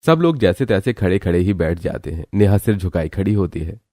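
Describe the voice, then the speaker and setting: A man's voice: deep voice, sing-song, a little fast, dark tone. A man narrating a scripted cartoon story as its storyteller.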